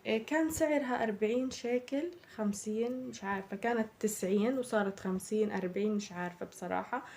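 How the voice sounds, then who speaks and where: A young woman with a Palestinian Arabic accent, chatting casually through a shopping haul, her voice slightly hoarse from a cold. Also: mid-range voice, quick pace, darkish tone. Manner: chatty, addresses viewers as girls. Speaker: vlogger.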